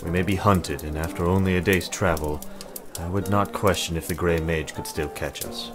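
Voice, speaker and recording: A man playing a solemn, literal-minded warrior in a visual novel, speaking formally and with earnest deadpan. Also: low voice, normal speed, natural voice. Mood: stern. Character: solemn, literal-minded adult male guard turned reluctant lord. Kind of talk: visual novel character acting. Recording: voice under prominent music.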